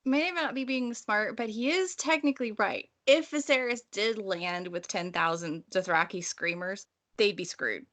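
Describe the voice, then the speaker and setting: Female co-host, bright forward voice, mid register, wry and irreverent, informal analysis. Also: medium pitch, normal speed. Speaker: podcast co-host discussing a novel. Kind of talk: casual analytical book discussion.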